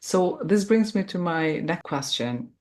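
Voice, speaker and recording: Tentative interviewer, medium-pitched voice. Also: animated, unhurried, a little mellow. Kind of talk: prepared interview questions, conversational.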